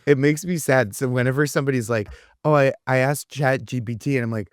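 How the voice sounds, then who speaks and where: A man with a medium-pitched voice riffs on a comedy panel. He is conversational and incredulous, often acting out little imagined exchanges. The recording is close and dry. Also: fairly even intonation, fairly quick, dry recording. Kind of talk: casual comedic riffing.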